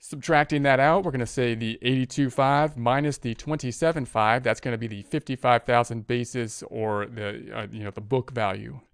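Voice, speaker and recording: A man's voice: medium pitch, fairly even intonation, moderate pace, few pauses, clear, resonant tone, no echo, clean studio sound. A man giving a step-by-step spreadsheet tutorial as an instructor, thinking aloud and often repeating his phrases. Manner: repeats phrases while working through steps. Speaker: accounting instructor.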